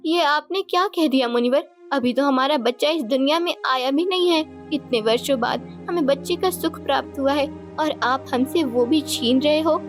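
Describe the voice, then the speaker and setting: Gentle, vulnerable queen for a moral-tale cartoon female narrator voicing her, very high pitch, faint music behind. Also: normal speed, hardly any pauses, natural voice. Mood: shocked, pleading. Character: distressed, gentle pregnant queen. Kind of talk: cartoon folktale acting.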